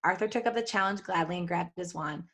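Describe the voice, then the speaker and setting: A woman's voice: fairly low pitch, quick pace, fairly bright tone. A woman hosting a podcast, reading fan fiction aloud in a playful, giggly way with chatty asides. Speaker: podcast host.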